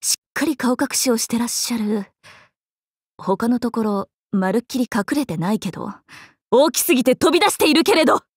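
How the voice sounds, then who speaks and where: A woman voicing a playful, cheerful young swordswoman in an anime mobile game, breezy, expressive and teasing like a big sister. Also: fairly high pitch, moderate pace, breathy edge, dry recording, studio quality. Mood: surprised, chatty. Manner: breezy, expressive, teasing, casual with sudden formal turns.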